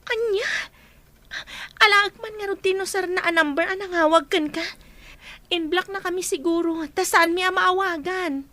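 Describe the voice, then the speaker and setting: A woman's voice: extremely high pitch, steady tone, normal speed, pushed and bright. A woman acting a helpful, take-charge young woman in an Ilocano radio drama. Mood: resolute.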